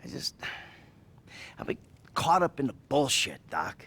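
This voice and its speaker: A man playing a troubled middle-aged American criminal in a video game, rambling, swearing and justifying himself in a husky voice. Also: medium pitch, big pitch swings, a little slow, bright, forceful tone, exaggerated voice. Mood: rueful, defensive. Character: troubled, volatile middle-aged male career criminal confessing in therapy. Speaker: therapy patient. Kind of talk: naturalistic video game cutscene acting.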